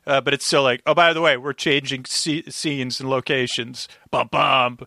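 A man's voice: medium pitch, steady tone, normal speed, gravelly, bright, forceful tone, no echo, clean studio sound. A man chatting casually as a podcast guest, rambling as he thinks aloud. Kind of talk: casual chat.

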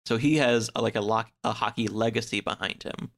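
Low-voiced man chatting casually. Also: a little fast, vocal fry. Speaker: podcast speaker discussing books. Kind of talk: casual chat.

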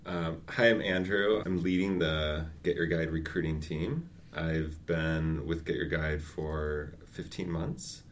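Very deep-voiced man, loose and chatty. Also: fairly even intonation, takes it slowly, hardly any pauses, slight background noise. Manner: loose, filler-heavy, with dry quips. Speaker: head of a recruiting team. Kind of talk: casual interview chat.

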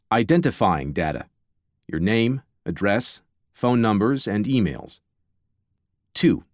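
An American man reading scripted nonfiction as an audiobook narrator, in a measured, clearly enunciated and slightly wry way. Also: on the low side, no echo, studio quality. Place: dry, close studio recording.

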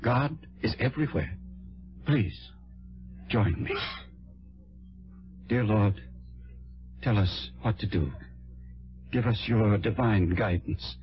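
An actor playing a smooth, persuasive, pious man in a vintage radio drama, speaking in a measured, sanctimonious way. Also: lowish voice, soft background music. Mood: pious, pleading. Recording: dull vintage radio broadcast recording.

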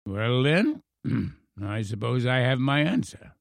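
A man voicing a frail, eloquent English professor in his eighties, formal, halting and playfully teasing, in a storybook reading. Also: medium pitch, expressive, vocal fry, no room sound. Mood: gently reproving. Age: 80s. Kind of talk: storybook character voice.

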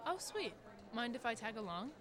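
A woman's voice: fairly high pitch, very animated, moderate pace, a bit bright. A woman acting as a sweet teenage girl with a crush, eager yet tentative, in a fiction podcast. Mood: excited. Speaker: voice actor.

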